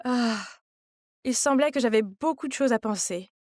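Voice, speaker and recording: Woman narrator, high voice, confiding, scripted first-person storytelling, dry studio-like sound. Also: moderate pace, a little mellow, no echo.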